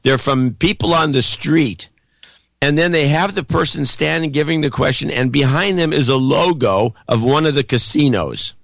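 A man with a cold, his voice gravelly and hoarse, pushing hard and almost shouting through casual opinionated chat as a podcast co-host. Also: a little high, moderate pace, dry recording. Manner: loud, pushing air, close to shouting.

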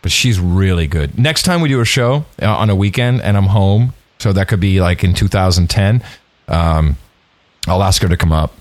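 Man, middle-aged, joking, sarcastic, lively voice, dry recording. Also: low-pitched, moderate pace, dry recording. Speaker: podcast co-host. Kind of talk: casual chat.

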